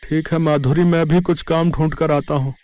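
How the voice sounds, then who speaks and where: A man's voice: fairly high pitch, steady tone, moderate pace, dark tone, lightly acted. A man voicing a poor village farmer, an earnest, plaintive husband and father, in a moral story. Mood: worried.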